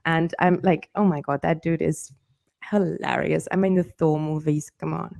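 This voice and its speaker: A woman's voice: sits low, steady tone, moderate pace, creaky, darkish tone. A German woman co-hosting a casual fan podcast, talking enthusiastically and rambling with lots of fillers. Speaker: podcast co-host. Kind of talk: casual chat.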